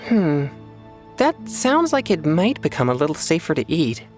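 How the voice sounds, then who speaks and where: A woman's voice: sits low, very animated, normal speed, darkish tone. A woman voicing an earnest, polite and slightly wary teenage boy in a video game. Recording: light music under the dialogue.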